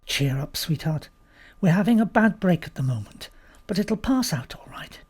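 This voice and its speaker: A woman's voice: lowish voice, expressive, moderate pace, a little mellow, breathy edge. Woman as young husband, audiobook character: casual, reassuring, practical, varied intonation.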